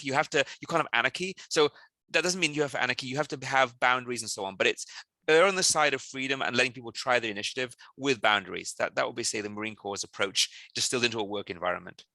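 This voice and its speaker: A man from South Wales, a company CEO answering interview questions in quick, animated stories full of restarts. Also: medium pitch, no echo.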